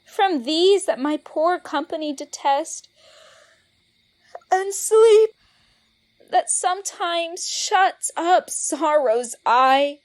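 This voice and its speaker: A woman as very slow, forlorn young lover. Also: extremely high pitch, expressive, a little mellow, full character voice. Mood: weary, sorrowful. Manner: plaintive, drawn-out. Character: forlorn, weary young lovelorn woman lamenting. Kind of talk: Shakespearean verse audio drama.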